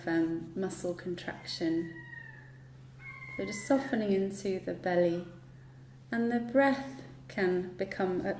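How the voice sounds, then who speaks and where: Woman, yoga nidra guide, soft mellow tone, soothing, unhurried, long pauses, roomy echo. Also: lowish voice. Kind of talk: guided relaxation meditation. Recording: roomy echo, light background noise.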